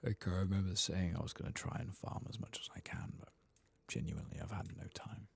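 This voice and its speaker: A man softly commenting on his gameplay in half-whispered, mumbling asides, his voice lower than usual after singing, close to the microphone. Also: fast talker. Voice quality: very deep, gravelly and husky. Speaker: ASMR gaming channel host. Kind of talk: soft-spoken gameplay commentary.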